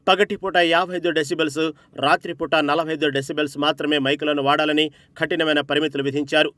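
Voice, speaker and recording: Fast-talking male news narrator, higher-pitched. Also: fairly bright tone, dry recording, studio quality.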